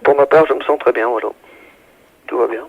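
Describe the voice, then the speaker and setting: A man with a gravelly, husky voice answering a phone call in an obliging, earnest, casual way. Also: mid-range voice, steady tone, brisk. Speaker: prank call victim. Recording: dull, muffled telephone line.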